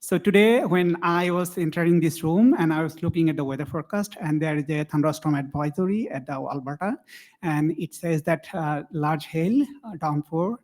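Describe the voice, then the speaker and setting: A man's voice: fairly high pitch, moderate pace, darkish tone. A man from Bangladesh presenting research at a conference, talking to the room with many fillers and a friendly manner. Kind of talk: academic conference talk.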